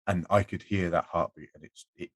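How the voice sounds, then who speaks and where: Male podcast guest, low husky voice, informal and halting, thinking aloud. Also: steady tone, no room sound. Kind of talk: casual podcast chat.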